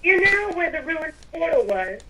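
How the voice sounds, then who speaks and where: A woman's voice: very high-pitched, sing-song, moderate pace, bright, forceful tone. A woman improvising in-character roleplay during a video game, with theatrical, stiffly formal phrasing. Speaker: Minecraft roleplay player.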